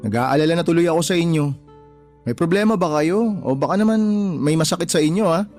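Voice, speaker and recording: A man's voice: a little high, expressive, fairly quick, a little mellow, soft background music, lightly acted. A young man with a Filipino accent acting a concerned, exasperated adult son in a radio drama, blunt and pleading. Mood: worried, coaxing.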